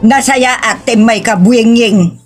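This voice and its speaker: A woman's voice: on the high side, slow. A woman with an Ilocos accent playing a resentful older mother-in-law who speaks reproachfully in a drama. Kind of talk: Ilocano audio drama acting. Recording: music under the voice.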